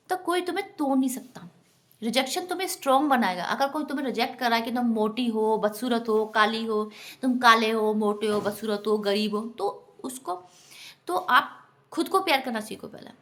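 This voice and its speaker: A woman's voice: high-pitched, fairly bright tone. A woman giving an earnest, instructive motivational talk directly to her viewers. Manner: earnest, instructive, often addresses listeners as friends. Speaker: self-help vlogger.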